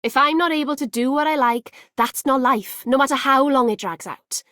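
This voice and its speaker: A woman's voice: high-pitched, normal speed, fairly bright tone, no echo, studio quality. A woman voicing a carefree, headstrong young woman in a video game, breezy and colloquial. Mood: defiant, upbeat. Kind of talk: video game character voice lines.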